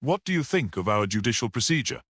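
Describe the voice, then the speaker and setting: A man voicing a confident, worldly man in a mystery audiobook, with an animated conversational manner. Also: medium pitch, fast talker, husky, dry recording, studio quality, voice pushed up, full character voice. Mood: assured. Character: confident, worldly man discussing a murder trial. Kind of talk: audiobook character reading.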